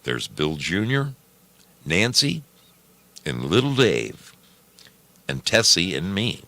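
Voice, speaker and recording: A man's voice: sits low, very animated, slow pace, sharp tone, no echo, pitched above the performer's own voice, heavily acted. Man playing a curt, resigned village husband. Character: plain-spoken village husband and father, stern, resigned.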